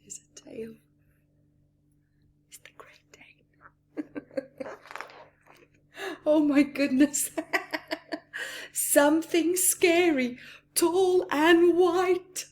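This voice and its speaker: A woman reading a picture book aloud to young children, expressively, with asides to her listeners and hushed whispers. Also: extremely high pitch. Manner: acts out characters, pausing for asides to listeners. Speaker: children's story reader.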